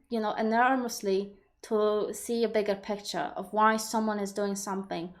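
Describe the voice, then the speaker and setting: A woman with a Polish accent, a native Polish speaker, hosting a livestream and explaining ideas in a thoughtful, reflective way while answering viewer questions. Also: mid-range voice. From Poland. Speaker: livestream host. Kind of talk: live Q&A talk.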